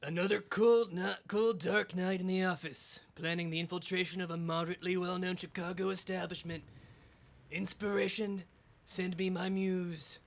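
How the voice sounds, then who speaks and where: A man's voice: high voice, fairly even intonation, normal speed, gravelly. A man playing a bumbling, self-important private detective who fumbles words in a parody noir radio serial. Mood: brooding, mock-dramatic.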